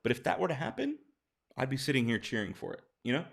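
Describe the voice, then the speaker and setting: A man with a hoarse, tired voice, casually reading out viewer questions and answering them on a late-night live stream. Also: mid-range voice, huge pitch swings, fast.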